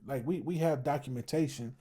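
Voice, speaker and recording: Tired american guy hosting, mellow voice, medium pitch, casual opinion talk. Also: a little fast, husky. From United States, family from Texas. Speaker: host commenting on an online discussion.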